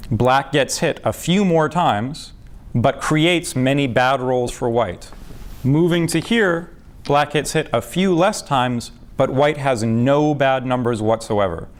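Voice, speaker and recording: Methodical man explaining a game position. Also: mid-range voice. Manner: methodical, patient, with fillers. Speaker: backgammon teacher.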